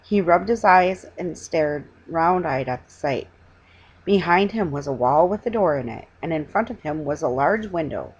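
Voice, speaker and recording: Middle-aged female storybook reader, lowish husky voice, halting delivery, dull webcam sound. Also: animated. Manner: halting reading with stumbles and self-corrections. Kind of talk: storybook read-aloud. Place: small living room at home, indoors.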